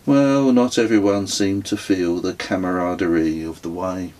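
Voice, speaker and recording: A middle-aged man reading his own memoir as an audiobook, with a husky voice and a measured, literary storytelling manner. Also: low voice, steady tone, a little mellow. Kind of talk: scripted audiobook narration.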